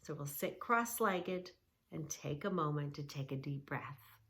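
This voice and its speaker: A woman reading a children's book aloud and gently guiding kids through yoga poses, soothing and instructive. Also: low voice, animated, a little slow. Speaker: kids yoga teacher and reader.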